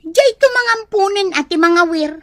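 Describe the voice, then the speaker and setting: A woman's voice: very high-pitched, lively intonation, normal speed, a bit bright, no room sound, studio quality. A woman playing a hard-pressed, defiant Filipino mother in a radio drama, defensive and complaining.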